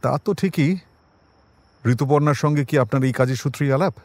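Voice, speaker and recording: An actor in an audio drama playing a composed police detective who questions people politely but with quiet suspicion. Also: male voice, mid-range voice, brisk, no echo, close to an everyday voice. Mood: probing, composed.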